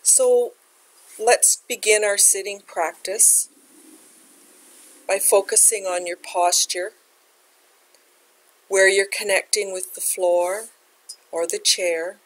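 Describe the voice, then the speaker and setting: A woman leading a guided meditation as a teacher, speaking in a gentle, unhurried, measured way. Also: mid-range voice, steady tone, poor audio.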